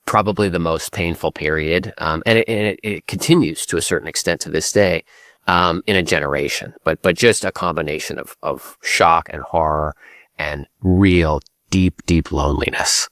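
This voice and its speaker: A Canadian man answering interview questions in a grave, measured way, slowing down to stress words. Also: sits low, fairly bright tone, dry recording, studio quality. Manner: grave, measured, slows for emphasis. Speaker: guest writer.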